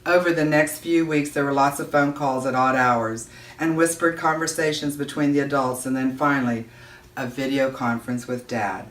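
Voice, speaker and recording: A woman giving an expressive read-aloud of a children's novel, narrating as a storyteller. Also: extremely low pitch, normal speed. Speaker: audiobook-style reader. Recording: roomy echo, distant microphone.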